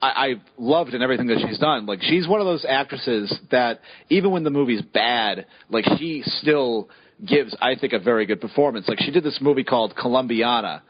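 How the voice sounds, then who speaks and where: Lively, husky-voiced podcast guy. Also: medium pitch, a little fast, no room sound, highs cut off. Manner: excitable, stammering restarts. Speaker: podcast co-host. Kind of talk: casual chat.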